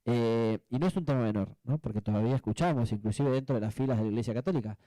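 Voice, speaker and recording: Argentine man, very mellow dark voice. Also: medium pitch, no echo. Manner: reflective, halting, often seeking agreement with tag questions. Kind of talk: spontaneous panel discussion answer.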